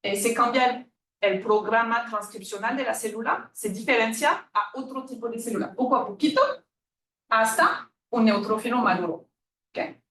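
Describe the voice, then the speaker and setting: A woman from France with a French accent giving a friendly, hesitant university lecture on immunology, often pausing with fillers and correcting herself. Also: fairly high pitch, fairly bright tone. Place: lecture room. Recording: echoey lecture room, distant mic.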